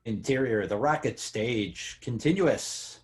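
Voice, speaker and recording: A man's voice: medium pitch, expressive, slow pace. A man reading out screenplay scene headings in short scripted phrases at a table read. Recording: faint background noise.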